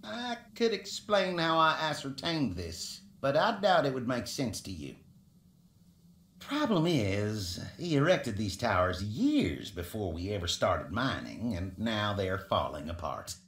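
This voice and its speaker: A pompous, swooping game miner. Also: male voice, lowish voice, very animated, unhurried, a bit bright, reverberant, exaggerated voice. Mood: scheming, condescending. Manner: pompous, mocking, condescending. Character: smug, arrogant miner boss, greedy and condescending. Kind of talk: video game character acting.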